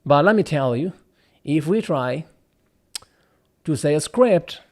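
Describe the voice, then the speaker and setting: Slightly high-voiced man explaining. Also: animated, slow. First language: Arabic. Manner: earnest and explanatory, with frequent restarts and asides. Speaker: voiceover actor. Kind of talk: casual talk to camera about his craft.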